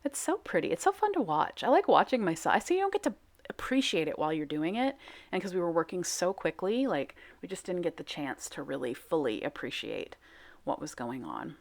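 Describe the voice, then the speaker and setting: Chatty low-voiced woman vlogger. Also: sing-song, fairly quick, vocal fry. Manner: chatty, self-mocking, lots of fillers. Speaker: soap making vlogger. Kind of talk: casual voice-over commentary.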